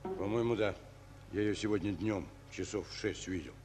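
An actor playing a calm, plain-spoken Soviet junior commander in a radio play, laconic and unhurried. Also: male voice, fairly low pitch, music in the background, close to an everyday voice. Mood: friendly, calm. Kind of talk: Russian radio play acting.

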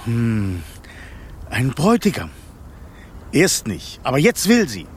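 A man acting a timid, servile snake guardian spirit in a radio play, deferential and halting. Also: medium pitch, huge pitch swings, a little hiss or hum. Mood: reporting.

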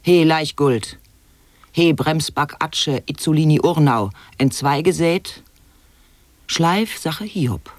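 A man's voice: fairly high pitch, lively intonation, slow pace, no room sound. An actor playing an eccentric man who declaims absurd wordplay in staccato lists for an experimental radio drama. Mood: haranguing.

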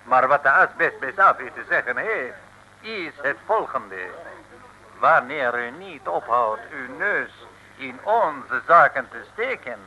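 A menacing, pompous state agent in an old comic radio serial. His voice is forceful and sharp, swinging widely in pitch, with formal, declamatory orders. The recording is dull and hissy. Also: lively intonation, takes it slowly, heavily acted. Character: pompous, threatening foreign agent of a secretive state.

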